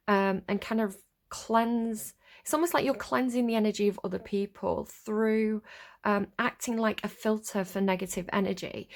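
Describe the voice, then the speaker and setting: A woman with a sniffly, stuffed-up voice giving a casual tarot reading, rambling and searching for words with many ums and likes. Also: medium pitch. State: sniffly from a cold. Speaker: tarot reader.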